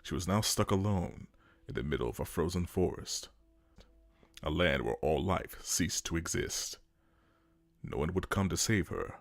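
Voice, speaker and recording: A man's voice: low-pitched, lively intonation, unhurried, creaky, long pauses, no room sound. A man narrating a dramatic scripted story.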